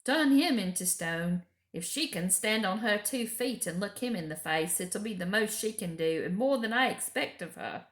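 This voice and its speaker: A woman's voice: medium pitch, lively intonation, normal speed, few pauses, close to an everyday voice. An earnest storybook beaver, acted out by a woman, a male creature who talks in a folksy, emphatic way full of reverence. The room echoes around the voice. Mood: emphatic, reverent. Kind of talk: children's storybook character voice.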